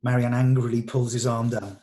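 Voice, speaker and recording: Man reading stage directions, medium pitch. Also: flat delivery, fairly quick, dark tone. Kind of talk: scripted narration.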